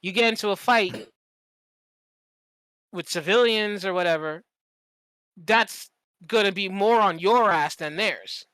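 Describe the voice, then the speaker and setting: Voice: medium pitch, measured pace, long pauses, no room sound, poor audio. A podcast host telling stories casually and animatedly, with plenty of swearing and slang, often acting out what people said. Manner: animated, profane, slangy, acts out quoted dialogue. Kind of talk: casual storytelling in conversation.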